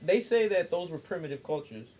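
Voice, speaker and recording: A man's voice: on the high side, expressive, brisk, over a noisy crowd, poor audio. A man co-hosting a podcast in a casual chat, explaining his point insistently with lots of slang and swearing. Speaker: podcast co-host. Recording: noticeable background noise.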